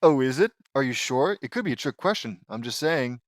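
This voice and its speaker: Man putting on a loud, cocky sporty sister. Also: fairly high pitch, brisk, no room sound, studio quality, close to an everyday voice. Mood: taunting. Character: boastful, competitive, aggressive sporty sister.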